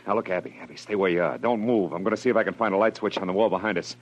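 An actor playing a tough, wisecracking private detective in a classic radio drama, hardboiled, slangy and commanding. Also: male voice, low voice, rapid-fire, muffled recording, slight background noise, lightly acted. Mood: tense, brisk. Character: tough, wisecracking male private detective, cool under danger. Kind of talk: classic radio drama acting, hardboiled first-person narration. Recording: old radio drama recording with music.

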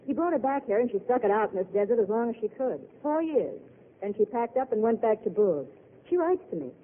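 An eager, earnest young waitress, played by a woman, with a lively voice that moves up and down a lot as she talks. It comes through thin and tinny, like an old radio set with a faint hiss. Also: a little high, fairly quick, close to an everyday voice. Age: young adult. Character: dreamy, earnest young woman at a desert diner. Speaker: diner waitress. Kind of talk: 1930s radio drama acting.

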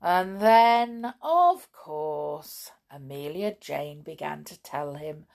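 Slow, gravelly-voiced woman storyteller. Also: sits low, animated, a little mellow. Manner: chatty, reads out listener messages, many ums. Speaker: storyteller and podcast host. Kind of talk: chatty bedtime story reading.

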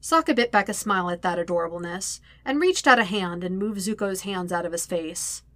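A woman's voice: fairly low pitch, fairly quick, a bit bright, dry recording. A woman reading scripted fiction aloud as a narrator, expressively and conversationally, with wry emphasis. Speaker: podfic narrator.

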